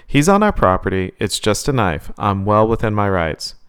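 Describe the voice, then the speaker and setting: A man voicing a bold, determined wife who speaks in a sharp, insistent, raised tone. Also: on the low side, big pitch swings, moderate pace, smooth. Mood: defiant.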